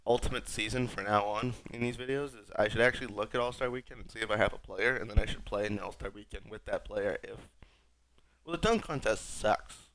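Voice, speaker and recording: A young man with a raspy voice, sick, rambling wryly through casual gameplay commentary as a streamer. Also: on the low side, brisk, fairly bright tone, rough recording. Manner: rambling, wry, self-mocking. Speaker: gaming streamer.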